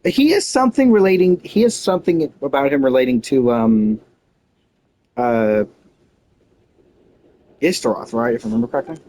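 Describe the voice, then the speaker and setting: A man's voice: mid-range voice, expressive, moderate pace, long pauses, mellow, slight background noise, rough recording. A man giving casual game playthrough commentary as a streamer, musing and thinking aloud.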